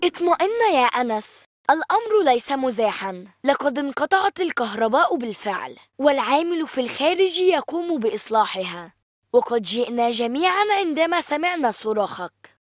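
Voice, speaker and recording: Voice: high voice, very animated, a little fast, no room sound, studio quality. An actor voicing a chubby younger boy in a cartoon. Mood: reassuring. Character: chubby, sulky younger boy, teased for eating. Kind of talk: animated cartoon dialogue.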